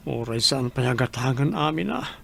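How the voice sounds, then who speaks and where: A man playing a gravelly, worried Ilocano man. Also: medium pitch, expressive, moderate pace, no echo, full character voice. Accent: Ilocano, northern Philippines. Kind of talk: Ilocano radio drama acting. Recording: faint background noise and ambience.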